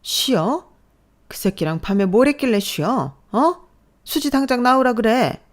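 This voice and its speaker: A woman voicing a domineering, furious middle-aged mother-in-law who shouts and scolds harshly. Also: a little high, lively intonation, unhurried, voice pushed up.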